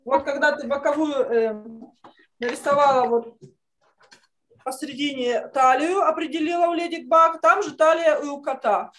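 Female art teacher, middle-aged, with a high-pitched voice, giving children encouraging step-by-step drawing instruction over a video call. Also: fairly even intonation, moderate pace, fairly bright tone, slight background noise. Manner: encouraging, uses affectionate diminutives. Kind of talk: online drawing lesson for children. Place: indoor room, on a video call.

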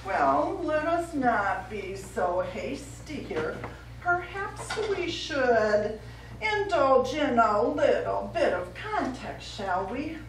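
A woman's voice: high-pitched, big pitch swings, slow, heavily acted. A middle-aged actress playing a pompous, easily wounded woman painter on stage, in florid, grandiloquent style. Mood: condescending. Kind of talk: live stage comedy acting. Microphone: distant audience camera. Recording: echoey small theatre, distant mic, faint noise.

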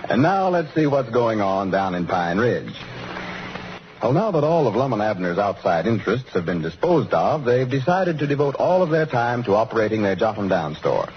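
A man's voice: on the low side, sing-song, normal speed. A man narrating a scripted 1930s radio serial introduction as its announcer. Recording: muffled, noisy 1930s radio recording.

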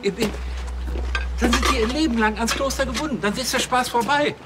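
A man's voice: very high-pitched, a little fast, recorded from a distance, over loud music. An actor playing an overeager, self-important policeman in a TV crime comedy, rambling earnestly. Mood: agitated. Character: overeager, self-important male police officer, conspiracy-minded. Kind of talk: naturalistic TV crime comedy acting.

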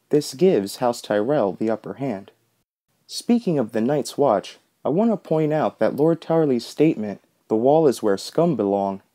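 A man's voice: medium pitch, mellow. A man narrating a scripted book analysis as the host of a video essay.

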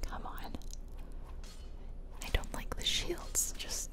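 Girl whispering asmr gameplay commentary, playful n rambly, right up close on the mic. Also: slow pace. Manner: playful, self-deprecating, rambling asides. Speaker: game streamer, ASMR creator. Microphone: close ear-to-ear ASMR microphone.

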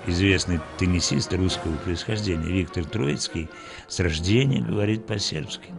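Gravelly man narrating a documentary. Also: deep voice. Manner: measured, formal delivery. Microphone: studio voice-over. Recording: voice-over with music underneath.

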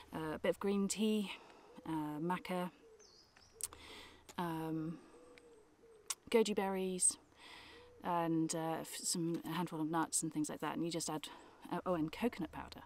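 Woman in her thirties, low-ish voice, chatty outdoor vlog to a handheld camera, outdoors in woods. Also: a little hiss or hum. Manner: chatty, hesitant with many fillers. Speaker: outdoor vlogger. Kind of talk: casual camping vlog. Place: forest outdoors. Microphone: camera at arm's length.

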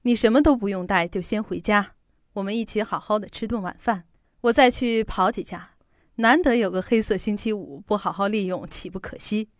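A woman's voice: mid-range voice, normal speed, dry recording, clean studio sound, natural voice. An actress in an audio drama playing a chatty, fretful middle-aged mother who sells insurance. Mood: caring, brisk. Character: fussy, protective middle-aged working mother, insurance saleswoman.

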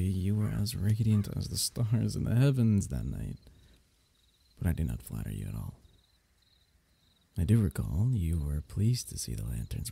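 A man acts out a royal diviner from a fantasy bedtime roleplay, gentle and flirtatious. His voice is low and very dark, with a gravelly creak. He speaks intimately, in courtly, teasing phrases. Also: full character voice. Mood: admiring, tender. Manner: tender, teasing, courtly old-fashioned phrasing, soft chuckles.

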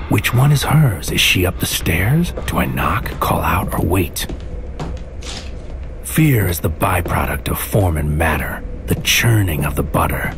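Casting: brooding sci-fi noir narrator, low-pitched, clipped poetic delivery, over prominent music. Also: male voice. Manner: poetic, clipped, deliberate phrasing. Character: brooding male mind infiltrator narrating a surreal noir mission. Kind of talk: scripted noir audio drama narration.

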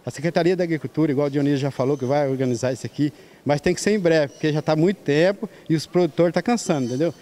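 Fast-talking, high-voiced Brazilian man. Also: warm tone. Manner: colloquial, frequent tag questions. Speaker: market vendor. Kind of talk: street interview, informal complaint. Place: large open-sided market hall. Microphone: handheld reporter microphone.

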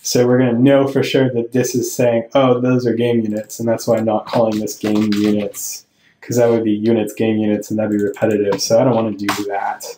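A man's voice: fairly low pitch, completely flat delivery, brisk, mellow, reverberant, slight background noise, rough recording. A man teaching programming over a screen recording, thinking aloud and correcting himself as he explains each step. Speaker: programming tutorial host. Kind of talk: screen-recorded coding tutorial. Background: keyboard typing and clicks.

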